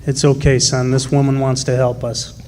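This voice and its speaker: Fair-minded working father, played by a man, reassuring and plain-spoken, medium pitch, radio drama. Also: monotone, moderate pace, darkish tone, slight background noise, natural voice. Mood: calm, polite. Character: steady, fair-minded father, a deported 1917 miner.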